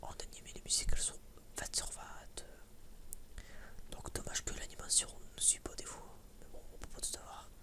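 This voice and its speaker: A woman chatting casually as an ASMR creator, in a rambling, halting way that often repeats words and phrases. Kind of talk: whispered ASMR casual chat.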